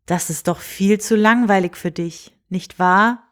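Woman reading a kindly, calm fairy-tale king. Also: mid-range voice, natural voice. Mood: reassuring. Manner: calm, friendly, unhurried. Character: kindly, reassuring old-fashioned fairy-tale king and father.

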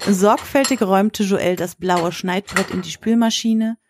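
A woman's voice: mid-range voice, fairly even intonation, a little slow, noisy background. A woman narrator delivering scripted prose for an audio drama.

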